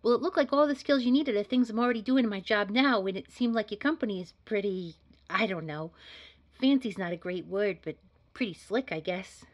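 A woman's voice: medium pitch, brisk, muffled recording, natural voice. A woman playing a casual, unassuming job candidate in an audio roleplay, chatting informally and a little hesitantly. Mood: chatty, easygoing. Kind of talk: scripted audio roleplay drama.

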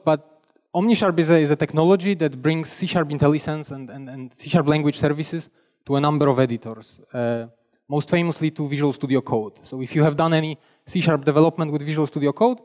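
A man's voice: fairly high pitch, darkish tone, big-room sound. A young man from Poland with a Polish accent, giving a chatty, self-deprecating technical talk on a conference stage. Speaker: conference speaker. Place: large conference hall stage. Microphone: headset mic.

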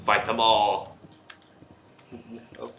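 A man's voice: on the low side, level tone, drawn-out words, creaky, echoey room, noisy background. A man giving casual let's-play commentary, jokey and muttering exasperated asides to himself. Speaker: video game let's-player.

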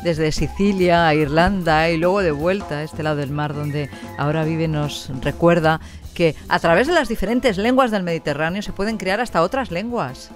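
A woman's voice: fairly low pitch, moderate pace. Spanish woman hosting over faint music. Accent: Spanish, from Spain. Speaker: radio host. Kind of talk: radio interview presenting. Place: radio studio.

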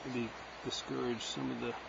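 A man's voice: mid-range voice, level tone, moderate pace, vocal fry. A man talking casually to himself while fixing a wildlife camera at an outdoor nest box, thinking aloud with many fillers. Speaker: wildlife cam caretaker. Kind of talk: casual running commentary while working. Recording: muffled lo-fi nest cam audio with noise.

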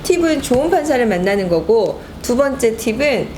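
A middle-aged woman, a lawyer hosting a talk show, explaining rules clearly to a co-host. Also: on the high side, sing-song, normal speed, a little mellow, slight background noise.

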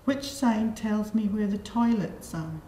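A middle-aged woman asks simple questions slowly and patiently, repeating and reassuring as she goes. Her voice is mellow and warm. The small studio adds a roomy echo and a faint hiss. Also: medium pitch. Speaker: adult education assessor. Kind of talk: slow, simple questions to a language learner. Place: small studio set, indoors.

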